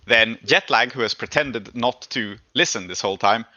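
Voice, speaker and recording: A man's voice: medium pitch, moderate pace, sharp tone, no echo. A man narrating and refereeing a tabletop game as game master, on slightly dull lo-fi audio.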